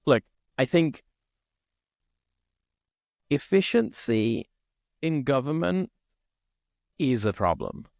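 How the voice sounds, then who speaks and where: A man from Italy co-hosting a podcast, chatting casually and thinking out loud, often checking in with "right?". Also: medium pitch, sing-song, takes it slowly, creaky, pauses often, no echo, studio quality. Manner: thinks aloud, peppers speech with "like" and "right?". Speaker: podcast co-host. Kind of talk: casual conversation.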